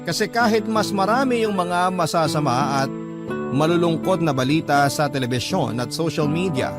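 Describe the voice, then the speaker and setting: A man's voice: a little high, animated, moderate pace. A man narrating a dramatic first-person story as the reader of a letter. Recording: music bed under the voice. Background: guitar music.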